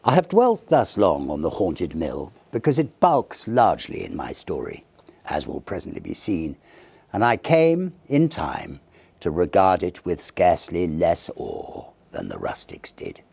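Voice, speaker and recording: A man reading a Victorian ghost story aloud in a measured, dramatic and expressive storytelling manner. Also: deep voice, creaky, husky, no echo. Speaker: audiobook narrator.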